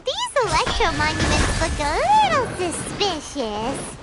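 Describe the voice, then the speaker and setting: A woman voicing a small, childlike fairy companion, chirpy, inquisitive and expressive, in video game dialogue. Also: very high voice, a little slow, heavily acted. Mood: curious. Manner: expressive, exclaiming, chatty. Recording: loud background music.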